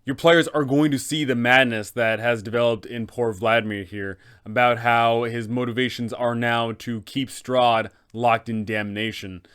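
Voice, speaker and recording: A man's voice: medium pitch, steady tone, normal speed, few pauses, fairly bright tone, clean tone. A man hosting a game master guide, talking in an enthusiastic, casual way. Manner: enthusiastic, upbeat. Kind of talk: casual tutorial narration.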